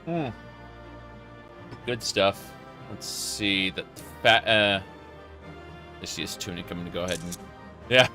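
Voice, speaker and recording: A man's voice: deep voice, slow pace, long pauses, bright, forceful tone. A man doing casual live gameplay commentary as a streamer, reacting off the cuff. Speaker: game streamer. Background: game music.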